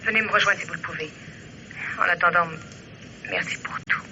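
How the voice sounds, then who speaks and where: A woman's voice: fairly low pitch, a little fast, pushed and bright. An actress playing a nervous, secretive young woman on the run, speaking hurriedly through a telephone in a radio mystery play. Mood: anxious, hurried. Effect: telephone filter. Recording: thin, band-limited telephone line, faint hiss.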